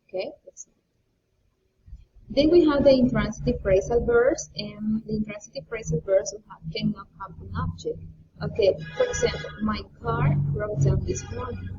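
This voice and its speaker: A woman's voice: on the high side, dark tone, slight background noise. A young woman teaching an English grammar lesson, explaining and repeating key points on a muffled webcam recording from a distance. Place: indoor room, screencast with webcam.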